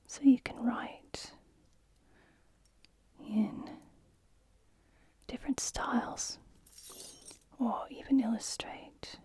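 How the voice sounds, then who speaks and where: Slow, whispering woman in ASMR role play. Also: on the high side, fairly even intonation. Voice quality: soft, breathy whisper. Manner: gentle, unhurried, attentive to the customer. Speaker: ASMR creator playing a stationer's shop assistant.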